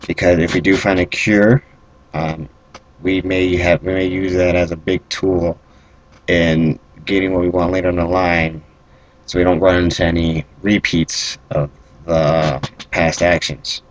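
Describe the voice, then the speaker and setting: A man improvising a fantasy roleplay speech as a commanding lord, speaking in a halting, deliberate, measured way. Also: sits low, little pitch movement, no room sound, poor audio. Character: stern kingdom lord commanding armored Templars. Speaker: role-player.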